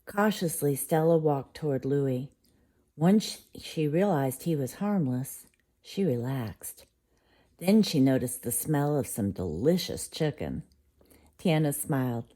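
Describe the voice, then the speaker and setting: A woman reading a bedtime story aloud to a child, gentle and unhurried. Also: deep voice, animated.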